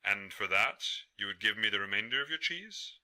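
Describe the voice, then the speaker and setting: A man voicing a wily old wanderer of legend, calm and assured as he bargains in a folktale. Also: on the low side, normal speed.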